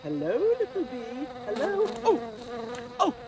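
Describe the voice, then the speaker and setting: Man putting on a fluttery, high-pitched theatrical lady. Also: huge pitch swings, measured pace, crowd noise, exaggerated voice. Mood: flustered. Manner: fluttery, exclaiming, chatty with children. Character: fussy, excitable, theatrical lady storytime host. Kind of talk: comic children's storytime presenting.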